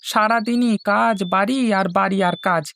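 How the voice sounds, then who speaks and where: A woman's voice: mid-range voice, a little slow, gravelly, a bit bright. A woman voicing an affectionate, hearty village father and farmer in a cartoon. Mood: glad, earnest. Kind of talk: Bengali cartoon dubbing.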